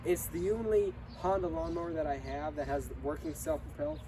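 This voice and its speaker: A man's voice: on the high side, little pitch movement, normal speed, noisy background. A young man with a congested voice from illness or allergies, casually reviewing his lawn mower in a hesitant, rambling way full of fillers and restarts. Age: young adult.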